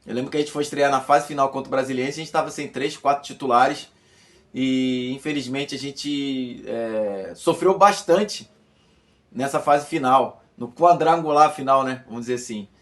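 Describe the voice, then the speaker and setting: A man in his fifties from Bahia, a former footballer calmly recounting his old club season, with many fillers and repeated words. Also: medium pitch, normal speed. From Brazil, Bahia. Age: 50s. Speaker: former footballer giving a testimony. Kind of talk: recorded personal testimony.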